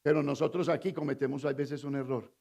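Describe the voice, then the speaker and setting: A man from Colombia with a Colombian Spanish accent, preaching a sermon as pastor, quizzing the congregation and swinging between loud emphatic lines and low confiding asides. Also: steady tone, fairly quick, vocal fry. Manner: call-and-response preaching, asks the congregation to fill in words.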